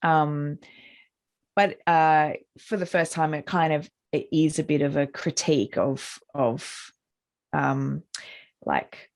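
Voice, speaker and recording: A middle-aged woman with an Australian accent talks casually about her music in a low, husky voice with plenty of gravel in it. She rambles through her answers with frequent fillers and self-corrections, heard over a video call. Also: fairly even intonation, slow, a little mellow. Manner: rambling, many likes and restarts. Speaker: musician interviewee.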